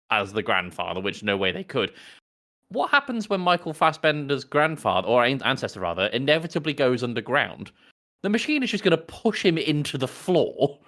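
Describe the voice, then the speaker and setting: A man co-hosting a casual film podcast, explaining his points in a wordy, deliberate way and often correcting himself. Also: medium pitch, pushed and bright. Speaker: podcast co-host. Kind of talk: casual film review chat.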